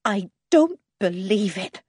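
A woman's voice: mid-range voice, sing-song, slow, a little mellow, slightly rough, dry recording, clean studio sound, exaggerated voice. A woman voicing an indignant farmer's wife in a storybook, fiercely loyal to her husband and emphatic in her scolding. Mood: shocked, disbelieving. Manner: emphatic, scolding, repeats herself.

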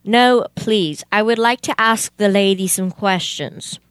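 A young woman playing a businesslike, easily exasperated male detective in a comic old-time radio play, questioning in a deadpan way. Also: mid-range voice, a little slow, clean tone, dry recording, close to an everyday voice.

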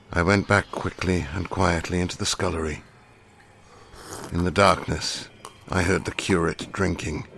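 A man giving a measured, grave reading of a classic novel as an audiobook narrator. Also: low-pitched, creaky, fairly bright tone. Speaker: audiobook reader.

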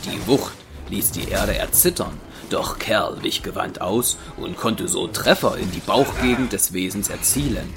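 A male narrator with a fairly low, gravelly voice tells an audio drama story. His delivery is scripted narration, set over a clear musical score. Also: measured pace, few pauses, over music.

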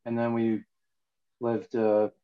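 A man's voice: medium pitch, completely flat delivery, takes it slowly, clean tone, muffled recording. A man in his forties from Ontario, Canada, talking casually as an interview guest and hesitating with many fillers. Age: 40s. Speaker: guest, jiu-jitsu student.

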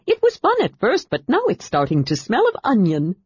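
A woman reading aloud, voicing an excitable, chatty young male kender in a high, eager voice. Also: moderate pace, breathy edge, dry recording, highs cut off, heavily acted. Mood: eager chatter.

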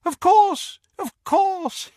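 A man voicing a frail, fussy old male wizard with a high-pitched, wheezy voice. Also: very slow, a little mellow, dry recording, clean studio sound, exaggerated voice. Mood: tearful. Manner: flustered, tearful, indignant. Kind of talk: audiobook character voice.

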